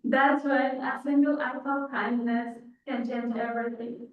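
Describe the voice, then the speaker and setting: A woman's voice: fairly high pitch, flat delivery, measured pace. A young woman from Afghanistan with an Afghan accent, delivering a prepared personal speech. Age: young adult. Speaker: guest speaker telling her story. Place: large indoor church. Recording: thin phone-like sound, echoey hall, distant.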